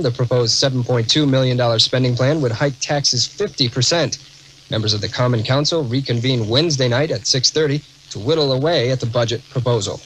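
An American man reads radio news from a script. His voice sits at an average pitch. The off-air recording carries a faint layer of noise. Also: a bit bright, rough recording.

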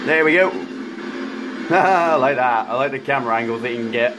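Croaky man, mid pitch, chatty excitable game commentary, faint game noise behind. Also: moderate pace. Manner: chatty, excitable, rambling with fillers. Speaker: gaming YouTuber. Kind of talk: casual racing game commentary.

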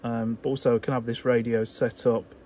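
A man giving a casual, unscripted workbench demonstration in halting, hesitant phrases, as an electronics hobbyist would. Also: mid-range voice, level tone, a little mellow. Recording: clear background noise.

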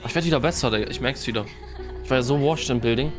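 A young man rattles off quick, clipped callouts mid-match, slangy and excited. He speaks at an ordinary pitch from a desk mic while faint game music and gunfire play behind him. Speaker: streamer playing with a teammate. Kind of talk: fast team callouts while gaming.

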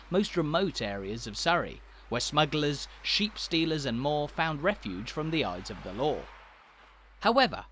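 A man narrating a scripted walking tour in a polished, measured documentary style. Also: a little high, fairly bright tone, a little hiss or hum.

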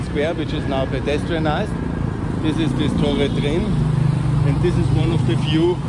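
Middle-aged man, upbeat walking-tour vlog on an earphone mic, steady mid voice, traffic loud behind. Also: mid-range voice. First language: German. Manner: chatty, enthusiastic, loosely phrased with restarts. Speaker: vlogger giving a walking tour. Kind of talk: casual walk-and-talk narration. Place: outdoors on city streets. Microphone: wired earphone mic, phone held at arm's length. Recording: outdoor street noise. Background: city traffic.

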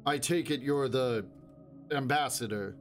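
Man doing a dry wry guy, understated and unimpressed, mid-pitched. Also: a little slow, faint music behind, lightly acted.

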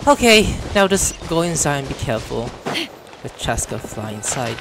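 A woman's voice: very deep voice, big pitch swings, moderate pace. A woman streaming a game with casual, reactive, exclamatory commentary that is mildly profane. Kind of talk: casual let's play commentary, reading dialogue choices. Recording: game music behind the voice.

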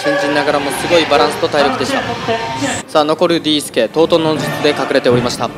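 A man's voice: a little high, fairly even intonation, rapid-fire. A man narrating and commentating a competition with dramatic, announcer-style calls. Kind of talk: energetic sports-style commentary. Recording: voice-over under loud music.

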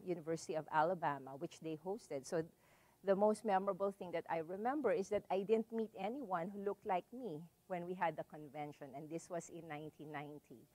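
A middle-aged woman from the Philippines with a Filipino accent, giving a public lecture in a library hall and telling her story in a measured way with many "so"s and "um"s. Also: fairly low pitch, darkish tone. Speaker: visiting lecturer. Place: library hall with a seated audience.

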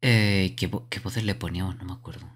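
Chatty streamer woman with a very low voice. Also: fairly even intonation, fairly quick, husky. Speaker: streamer, game dubber. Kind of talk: casual live gaming stream chat.

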